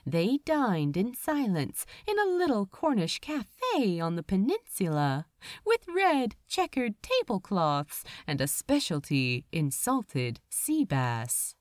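A woman narrating a story from a script, with a dramatic, measured delivery and deliberate pauses. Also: mid-range voice, wildly swooping pitch, dry recording, studio quality. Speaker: audiobook reader of fan fiction.

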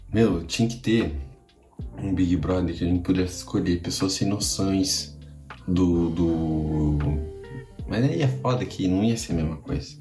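A man's voice: low-pitched, mellow. A man with a Brazilian accent hosting a podcast, giving casual, slangy reaction commentary full of swearing. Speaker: podcast host. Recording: echoey room with music behind.

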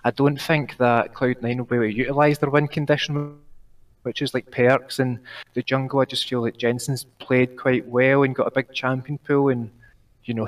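A nervous man with a Glaswegian accent, calling in to give an esports prediction, talking fast while trying to slow down and pronounce clearly. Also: mid-range voice, distant mic, rough recording. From Glasgow, Scotland. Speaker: caller.

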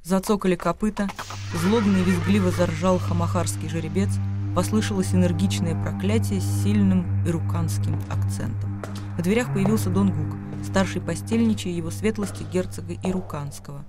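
A man narrating a radio play with expressive, theatrical literary storytelling. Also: high voice, normal speed, over music.

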